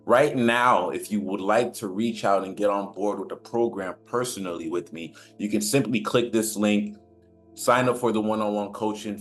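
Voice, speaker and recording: A man giving casual motivational talk as a spiritual coach, slangy and emphatic, repeating phrases for effect. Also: fairly low pitch. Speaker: spiritual coach and channel host.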